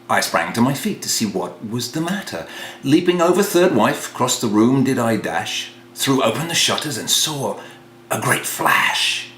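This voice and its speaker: Slow, theatrical man reciting verse, roomy echo. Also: medium pitch. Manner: dramatic, hushed passages rising to exclamations. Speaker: reader of a comic poem. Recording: roomy echo, faint hum.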